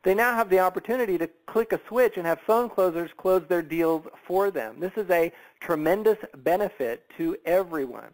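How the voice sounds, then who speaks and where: A man presenting an enthusiastic business sales pitch in a persuasive, emphatic and conversational way, tossing in rhetorical questions. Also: expressive, normal speed, few pauses, rough recording. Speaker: sales presenter on a business opportunity conference call.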